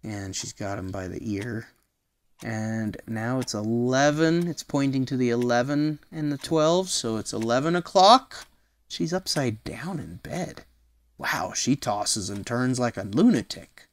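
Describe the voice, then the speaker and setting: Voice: medium pitch, expressive, a little slow. A storyteller reading a picture book aloud expressively, with casual asides that explain things simply, as to a small child.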